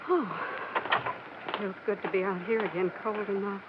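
A woman's voice: medium pitch, fairly even intonation, natural voice. Woman acting a plain-spoken elevator girl, noisy old radio. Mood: subdued, reflective. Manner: slangy, plain, halting. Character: shaken, plain-spoken working-class female elevator operator. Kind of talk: 1950s radio drama acting. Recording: muffled, noisy 1950s radio recording.

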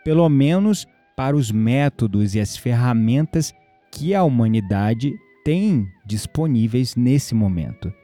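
Man acting a patient, mellow-voiced mystic believer. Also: mid-range voice, animated, a little slow, no echo, lightly acted. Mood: calm, understanding. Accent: Brazilian. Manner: explanatory, conversational, gently teasing. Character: patient male believer explaining spiritual magnetism to a skeptic. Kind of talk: audio drama acting. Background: music behind the voice.

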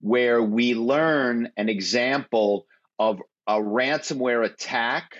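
A man speaking as a cybersecurity guest, explaining with confidence and telling stories in steady detail. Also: medium pitch, slow pace, highs cut off. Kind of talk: explanatory interview talk.

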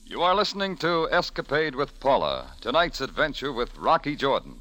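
A man's voice: medium pitch, very animated, moderate pace, pushed and bright, poor audio. A man announcing in scripted 1940s network radio style. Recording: old radio broadcast with music behind. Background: music.

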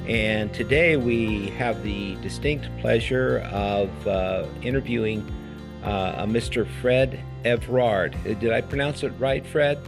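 A man in a middle-range voice who hosts a health podcast interview as a doctor. He talks slowly and hesitantly, with many fillers and restarts. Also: medium pitch, over music. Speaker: podcast host, physician. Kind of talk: interview hosting.

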